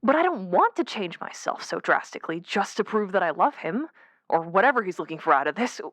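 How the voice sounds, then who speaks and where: A woman's voice: medium pitch, sing-song, a little fast, fairly bright tone, dry recording. A woman playing a troubled mermaid calling a radio advice show in an audio drama, conversational, candid and a little hesitant. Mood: hurt, insistent. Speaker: caller. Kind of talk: naturalistic audio drama phone-in.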